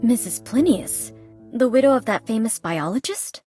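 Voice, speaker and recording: A woman playing a reserved, watchful young investigator in a video game, speaking in a deliberate, guarded way. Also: fairly high pitch, animated, a little mellow, lightly acted. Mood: inquiring, guarded. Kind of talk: scripted video game dialogue and monologue. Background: music.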